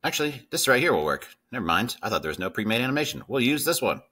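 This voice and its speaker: A man's voice: mid-range voice, big pitch swings, quick pace, sharp tone, slightly rough. A middle-aged man hosting a casual screen-share coding tutorial. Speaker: tutorial host.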